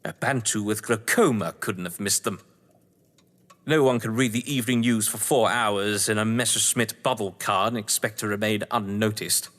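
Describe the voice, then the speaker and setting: A man's voice: on the low side, moderate pace, a bit bright, in a large hall, natural voice. A man playing a suave, scheming, condescending English crime novelist in a theatrical audio drama, with wry, florid delivery. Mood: sardonic. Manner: pompous, wry, florid phrasing.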